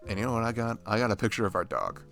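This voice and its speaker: A dorky, shy young man, played by a male performer talks close to the mic as a lonely best friend in an ASMR roleplay. His gravelly voice sits a bit low but swings up and down animatedly. He rambles haltingly and self-deprecatingly over faint music. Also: animated, fairly bright tone. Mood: self-mocking. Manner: hesitant, self-deprecating, halting with fillers and sighs. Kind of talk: intimate ASMR roleplay, casual rambling monologue.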